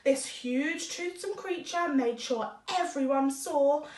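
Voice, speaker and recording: A woman narrating a children's rhyming story expressively, deliberately, with dramatic emphasis. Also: sits high, darkish tone. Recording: roomy echo, distant microphone.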